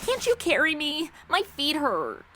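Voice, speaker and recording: Casting: ditzy, sassy young modern woman, high bright voice, comic audio drama. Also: huge pitch swings, unhurried, slight background noise, full character voice. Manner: sassy, exaggerated modern slang delivery. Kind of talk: comedic audio drama acting.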